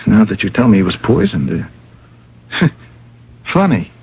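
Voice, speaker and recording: Flustered stage manager, very raspy, swooping intonation, nervous stammer, eager to please, muffled old radio drama. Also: male voice, fairly low pitch, sing-song, unhurried, heavily acted. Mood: uneasy, eager. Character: flustered, anxious, deferential male theater stage manager.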